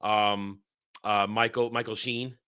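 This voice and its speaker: Man, movie review co-host, rambling with restarts, bright forceful voice, dry close mic. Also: fairly low pitch, little pitch movement, takes it slowly, clean tone, no echo. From Northeastern United States. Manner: rambling, many restarts and fillers. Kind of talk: casual spoken movie review.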